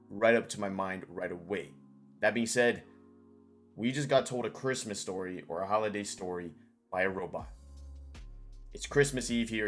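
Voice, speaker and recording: A man hosting a casual screen-share tutorial, informal and thinking aloud as he goes. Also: on the low side. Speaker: tutorial host.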